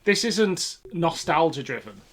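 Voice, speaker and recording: A man's voice: a little high, very animated, measured pace. A man co-hosting a podcast, explaining background details in a casual, conversational review style. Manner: informative, explanatory. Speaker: podcast co-host.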